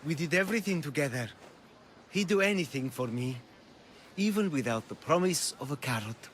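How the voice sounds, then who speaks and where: Fretful merchant, highish voice, very animated, sentimental, pleading, game dialogue, faint background noise. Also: male voice, moderate pace. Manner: pleading, wistful, effusive. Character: anxious, sentimental oil merchant doting on his horse. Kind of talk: dramatic video game dialogue.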